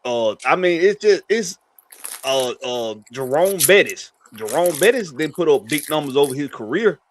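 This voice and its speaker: A man talking sports in a casual chat, halting and searching for his words. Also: fairly high pitch, expressive, slight background noise.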